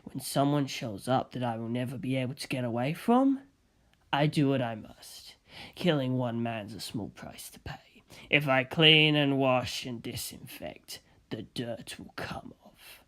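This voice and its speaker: A man voicing a quiet, fastidious killer in an audiobook, coldly obsessive and unhinged, muttering in a low, breathy voice. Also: animated. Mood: cold, controlled. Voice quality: hushed, breathy. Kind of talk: dramatized audiobook character voice.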